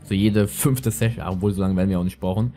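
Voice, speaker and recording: Young male let's player, lisping, soft-edged mellow tone, chatty slangy rambling, light music underneath. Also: deep voice, steady tone, quick pace. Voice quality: lisp. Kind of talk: casual gameplay commentary.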